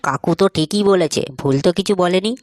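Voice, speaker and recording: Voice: medium pitch, fast talker, noisy background, close to an everyday voice. An actor voicing a mocking young male buddy in a comedy cartoon, teasing and preachy, with vulgar talk. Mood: lecturing. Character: mocking, crude young male buddy, cheeky know-it-all.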